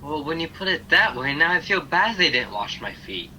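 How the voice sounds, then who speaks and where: A man's voice: on the high side, very animated, moderate pace, pushed and bright, exaggerated voice. A man playing a frank, awkward, naive young angel in a radio play. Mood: curious, awkward. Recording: faintly noisy home video-call recording.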